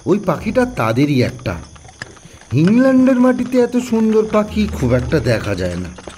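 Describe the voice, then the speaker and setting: A man voicing a talkative, friendly old village coachman with a husky voice in an audio story. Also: sits high, huge pitch swings, a little slow, darkish tone, slight background noise, exaggerated voice. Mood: chatty, admiring.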